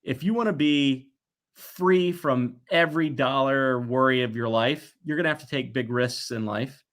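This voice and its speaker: A man in his forties speaks at a middle pitch in a conversational interview style. He is earnest and reflective, and he leans on key words with pauses for emphasis. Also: a bit bright. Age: 40s. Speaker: guest, toy company executive.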